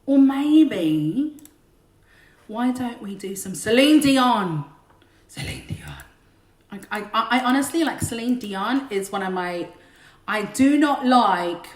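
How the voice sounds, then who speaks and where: A woman, a singer and singing teacher, chatting casually and joking between songs on a home livestream. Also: medium pitch. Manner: chatty, joking, self-deprecating, big belting voice. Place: home room during lockdown. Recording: roomy echo, faint backing music.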